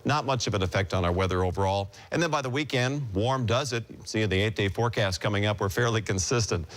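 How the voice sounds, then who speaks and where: A man's voice: fairly low pitch, fast talker. An American man presenting an ad-libbed TV weather forecast.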